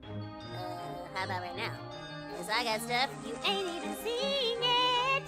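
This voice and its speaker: A woman voicing an excitable young girl game master in a video game, theatrical, slangy and playful. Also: very high-pitched, a little slow, over loud music, full character voice. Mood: candid. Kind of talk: animated video game character acting.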